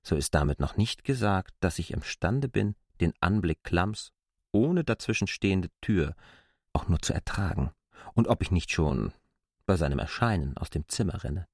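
Low-voiced, stubborn arguing man. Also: expressive, normal speed, slightly rough, no echo, clean studio sound, full character voice. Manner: insistent, reasoning, articulate. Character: stubborn, argumentative, self-assured outsider man. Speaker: actor. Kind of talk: literary radio drama acting.

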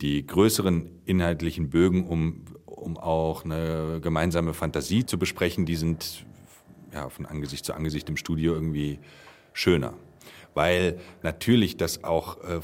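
A man, an actor being interviewed, talking reflectively and searching for his phrasing. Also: extremely low pitch.

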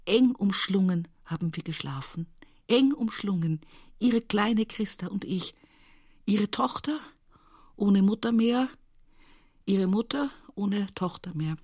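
An actress playing a devout, humorous Bavarian countrywoman looking back on her life in an intimate radio monologue, earthy and often breathy. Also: mid-range voice, slow pace, a little mellow, no echo, clean studio sound. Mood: tender, grieving. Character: rural Upper Palatinate woman, devout, humorous, wistfully reminiscing. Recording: music behind the voice. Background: music.

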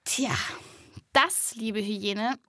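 Eine Frau moderiert einen Kinderpodcast, teils erzählend, teils im spielerischen Dialog. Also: female voice, on the high side, very slow, sharp tone, no room sound, clean studio sound. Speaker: host and reporter of a children's podcast. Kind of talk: scripted narration with playful dialogue.

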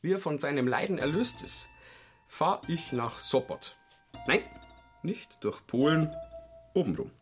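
An actor playing an unabashed, worldly count who schemes nonchalantly in a radio play. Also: male voice, on the high side, animated, a little slow, long pauses, faint music behind. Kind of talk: German radio play acting.

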